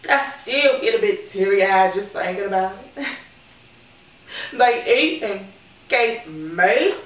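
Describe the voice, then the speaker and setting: A woman performing a stage monologue as a sassy, candid retired call girl who reminisces fondly with playful, dramatic pauses. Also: mid-range voice, expressive. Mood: sentimental, proud. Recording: echoey room, distant mic, background noise.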